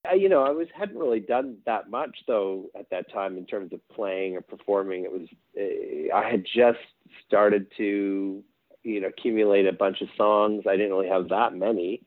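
Man from Quebec, deep voice, rambling songwriter guest, phone-like dry line. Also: moderate pace, no echo. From Quebec, Canada. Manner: rambling and hesitant, many fillers and restarts. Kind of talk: casual interview chat.

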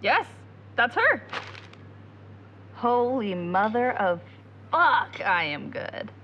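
A woman acting in an audio drama as a driven, bossy young amateur investigator, brisk and determined. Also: on the high side, sing-song, heavily acted. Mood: eager, bossy. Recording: slightly dull audio with faint ambient noise.

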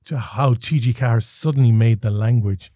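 Irish man, forties, mellow tone, dry close sound, reflective and halting, podcast host. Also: mid-range voice, no room sound. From Ireland. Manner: thoughtful, with frequent restarts and fillers. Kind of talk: reflective conversational storytelling.

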